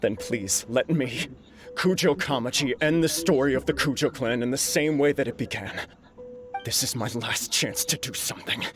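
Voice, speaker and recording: A man's voice: mid-range voice, moderate pace, music in the background, close to an everyday voice. An actor playing an earnest young nobleman in a video game, solemn and formal, burdened with remorse. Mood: solemn, determined. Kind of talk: dramatic video game acting.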